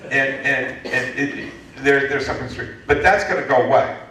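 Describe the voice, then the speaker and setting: A man in his fifties from the United States, answering an audience question at a lecture in a lively, joking way with many restarts. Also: medium pitch, normal speed. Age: 50s. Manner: animated, joking, frequent restarts. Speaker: guest speaker, entrepreneurship lecturer. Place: lecture hall. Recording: echoey hall from far off.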